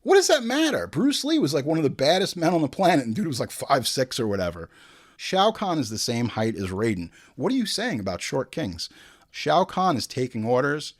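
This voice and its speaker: A man's voice: on the high side, very animated, a little fast. A man hosting a casual, comedic review show, talking in an emphatic, exasperated, slangy and profane way. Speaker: host of a movie review show.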